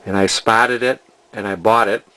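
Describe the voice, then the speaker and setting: A man's voice: fairly low pitch, fairly even intonation, moderate pace, sharp tone, low-quality recording. An older man, casually narrating a repair as a hobbyist, rambling and hesitating as he thinks aloud. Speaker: hobbyist vintage TV restorer.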